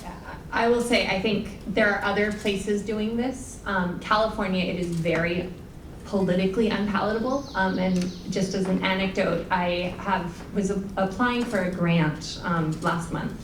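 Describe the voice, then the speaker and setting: A woman's voice: mid-range voice, steady tone, moderate pace. A woman panelist answering frankly in a conversational way, with fillers. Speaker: panelist and moderator. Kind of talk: panel discussion. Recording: roomy hall, far from mic, faint noise.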